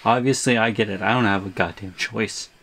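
A woman's voice: extremely low pitch, fairly quick, a bit bright, heavily acted. A woman playing a frightened, pleading young man held captive, in an emotional ASMR audio roleplay. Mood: grieving, resigned.

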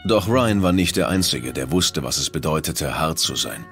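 A German dubbing actor playing a hard, wounded American cowboy who tells his own story gravely and with measured words. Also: a bass voice, animated, full character voice. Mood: bitter. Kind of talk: German dub voice-over in a true crime documentary, first-person account. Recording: loud music behind the voice.

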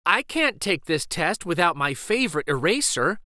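A man's voice: high-pitched, big pitch swings, moderate pace, pushed and bright, no echo, studio quality, full character voice. A man voicing a timid, worried little schoolgirl in a storybook, hesitant and anxious.

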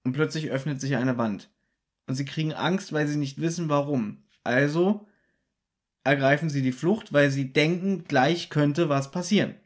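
A man's voice: fairly high pitch, moderate pace. A man co-hosting a podcast, casually retelling and discussing the plot of a radio play in detail. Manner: retells plot in detail, animated when joking. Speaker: podcast co-host.

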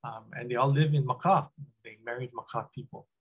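A man's voice: mid-range voice, fairly quick, husky, low-quality recording. A middle-aged man from Hawaii, giving a casual, rambling talk over a webcam call, with lots of ums and restarts. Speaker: guest speaker. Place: small room indoors. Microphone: webcam on video call.

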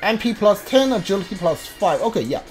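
A man's voice: sits high, very animated, fairly quick, a little mellow. A man doing casual video game playthrough commentary, reading on-screen text aloud and mumbling to himself as he thinks. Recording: game music behind the voice. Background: video game music.

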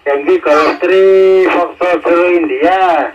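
A man's voice: high voice, fairly even intonation, slow, dull audio. Indonesian man over a noisy two-way radio. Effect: two-way radio transmission. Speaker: amateur radio operator. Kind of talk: casual two-way radio exchange with call signs. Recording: noisy radio repeater heard through speaker.

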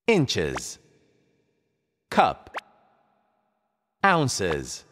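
Swooping, upbeat cartoon quiz host. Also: male voice, lowish voice, wildly swooping pitch, a bit bright, heavily acted. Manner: theatrical, drawn-out announcer delivery. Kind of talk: scripted game show hosting. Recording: reverberant studio with crowd noise.

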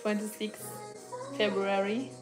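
A woman in her thirties from Moldova, a guest on a couch livestream, answering questions softly and laughing easily. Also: medium pitch, little pitch movement, takes it slowly, echoey room, over music. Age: 30s. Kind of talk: casual chat answering questions. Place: living room couch indoors. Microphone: phone camera propped in front.

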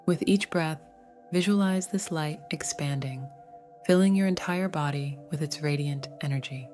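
A woman narrating a scripted guided meditation in a gentle, soothing, measured way. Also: low-pitched, soft background music.

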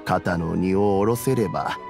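A man playing a kindly, dignified old elder in a video game, with measured, old-fashioned speech. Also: low voice, a little mellow, faint music behind. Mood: weary, resigned. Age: older. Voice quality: aged, gravelly.

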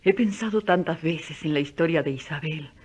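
A woman playing a gentle, pious lady who is a new mother in a radio drama, speaking tenderly and earnestly. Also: low-pitched, moderate pace, slightly rough.

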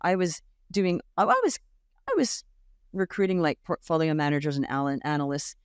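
A woman in her fifties, raised in Australia, telling stories as a podcast guest, lively and acting out the voices of others. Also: deep voice, moderate pace, clean tone, no room sound, studio quality. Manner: animated storyteller, acts out quoted voices, laughs often. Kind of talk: casual interview storytelling. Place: home room with bookshelf. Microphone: large studio mic on boom arm, video call.

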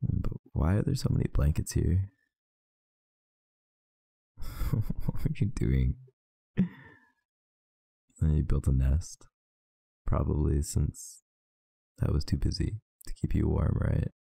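Deep, gravelly man murmuring softly. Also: slow pace, pauses often, no room sound. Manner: soft, intimate, affectionate murmuring. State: tired. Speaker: ASMR roleplay performer. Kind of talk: ASMR boyfriend roleplay.

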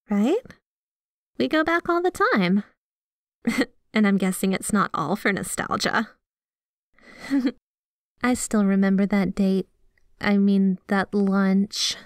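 A woman's voice: medium pitch, darkish tone, close to an everyday voice. A sweet yandere girlfriend, acted by a woman for an ASMR roleplay, with a mid-range female voice. She talks affectionately and possessively, as if to her beloved. The sound is dry and free of room echo. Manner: affectionate, giggly, playfully possessive. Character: sweet, obsessively devoted, jealous yandere girlfriend. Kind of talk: ASMR roleplay, scripted one-sided conversation.

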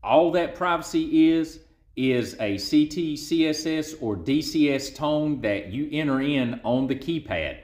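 A man's voice: medium pitch, darkish tone, roomy sound. A man in his thirties or forties with an American accent, giving a casual, folksy explainer to camera in short emphatic phrases, recorded on a phone at arm's length indoors. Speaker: preparedness video host. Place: indoors, small bare-walled room.

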